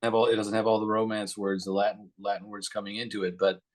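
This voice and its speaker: A man hosting a conversational interview, putting questions in a halting, roundabout way. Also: fairly low pitch, flat delivery. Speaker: interviewer, host.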